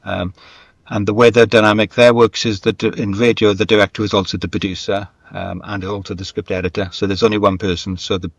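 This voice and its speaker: A man's voice: on the low side, level tone, brisk, creaky, no echo. A middle-aged man speaking reflectively as an interview guest, thoughtful and emphatic, repeating phrases for stress. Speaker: guest, screenwriter and radio dramatist. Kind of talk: reflective interview conversation, career anecdotes.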